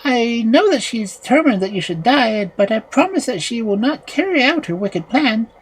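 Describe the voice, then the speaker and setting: A woman voicing a kind young man, the witch's son, who speaks gently and coaxingly in a fairy tale reading. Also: fairly high pitch, sing-song, moderate pace, slightly rough, pitched above the performer's own voice, poor audio. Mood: gentle.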